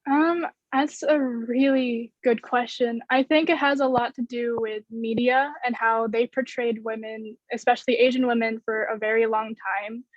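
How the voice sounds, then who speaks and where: A woman in her twenties with an American accent, giving measured, explanatory answers in a panel discussion. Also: on the high side, fairly even intonation, no room sound. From United States. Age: 20s. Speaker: theater student. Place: bedroom, indoors. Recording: muffled video-call audio.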